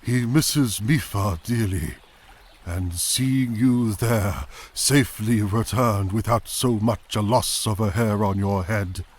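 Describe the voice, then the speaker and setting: A man playing a benevolent, solemn aging fish-folk king, stately and measured, in a fantasy audio drama. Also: fairly low pitch, slightly rough. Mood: gentle, sorrowful.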